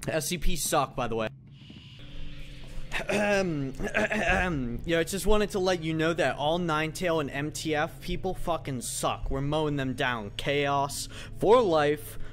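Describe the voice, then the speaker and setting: A man's voice: fairly high pitch, moderate pace, few pauses, faint music behind. A man playing a video game, trash-talking over an intercom in a brash, profane, taunting manner.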